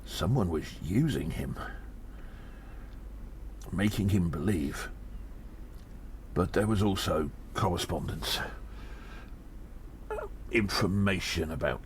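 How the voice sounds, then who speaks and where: A man playing a weary gnome investigator in an audio drama, musing haltingly and deliberately with breathy sighs. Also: low voice, expressive. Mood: grave, pondering. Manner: slow, deliberate, halting, pondering. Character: weary gnome investigator reporting on a spy conspiracy. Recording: faint background noise.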